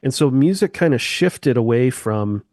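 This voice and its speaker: A man of middle pitch talks through ideas with eager, sweeping comparisons, like a musician guest on a podcast. His voice sits close and dry on the mic, with no room around it. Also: animated, normal speed, darkish tone, no room sound. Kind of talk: casual explanatory chat.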